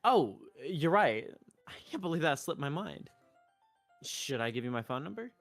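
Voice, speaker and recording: A man's voice: on the high side, very animated, normal speed, fairly bright tone. A man streaming a visual novel playthrough, narrating and reading the player character's part. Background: music.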